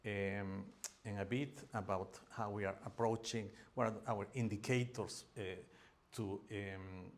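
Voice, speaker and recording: A middle-aged man with a Peruvian accent giving an academic seminar talk, pausing often with fillers and restarting phrases. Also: fairly low pitch, fairly even intonation. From Peru. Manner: hesitant, many fillers and restarts. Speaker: academic presenter. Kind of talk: seminar presentation.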